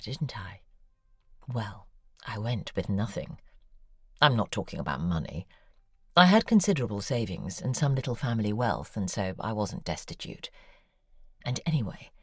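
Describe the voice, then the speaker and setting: An actress voicing a poised, probing woman novelist in an audio drama, speaking in a measured, wry way. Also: rumbling low, darkish tone, husky, no room sound, clean studio sound, exaggerated voice. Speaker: author character.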